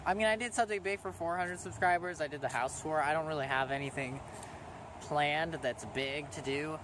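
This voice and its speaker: A teenage boy vlogging to his viewers, rambling with frequent ums and pauses. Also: on the high side, background noise. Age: teens. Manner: rambling, hesitant, many ums. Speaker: small YouTuber thanking subscribers. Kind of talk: casual vlog to camera.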